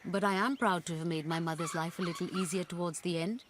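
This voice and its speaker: An actress playing a sober, sensible, hard-working Indian woman in a radio drama, speaking in a measured, gently reproving manner. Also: on the low side, fairly even intonation, slight background noise, close to an everyday voice. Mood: calm, reproving.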